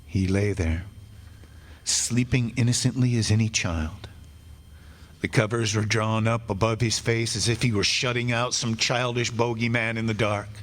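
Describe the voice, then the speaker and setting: An actor playing an anguished, wronged condemned man's soul, narrating a radio play in a dramatic, halting, theatrical way. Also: fairly low pitch, steady tone, a bit bright, lightly acted. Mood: haunted awe. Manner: dramatic, halting, repeating words in dread. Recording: noisy live stage recording.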